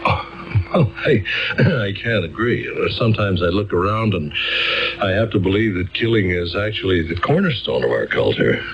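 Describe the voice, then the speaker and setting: An actor playing an earnest, exasperated male defense lawyer in a radio drama, courteous but halting and stammering. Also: low-pitched, animated, muffled recording. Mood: flustered, coaxing. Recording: band-limited old radio drama recording.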